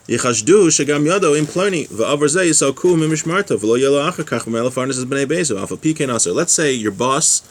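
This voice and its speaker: A man giving an informal religious lesson, reading from a text and explaining it with small check-in questions. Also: mid-range voice. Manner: explanatory, with rhetorical check-ins like "right?". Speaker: religious teacher giving a class.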